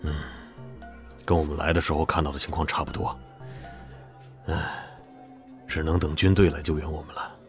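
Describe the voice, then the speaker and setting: An actor playing a sombre, cautious male survivor with a husky, rough voice in an audio drama. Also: very deep voice, flat delivery, moderate pace, pauses often, soft background music. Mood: resigned.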